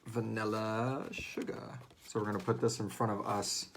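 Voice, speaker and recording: A man's voice: lowish voice, level tone, normal speed, noisy background. A man hosting a casual livestream chat in a jokey, rambling way, sometimes muttering as he sets things up. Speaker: host and co-creator of a horror audio drama.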